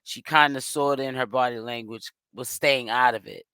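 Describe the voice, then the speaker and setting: A man with a hoarse voice, hosting and giving casual gossip commentary. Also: medium pitch, fairly even intonation, moderate pace, bright, forceful tone, no echo. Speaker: commentary host.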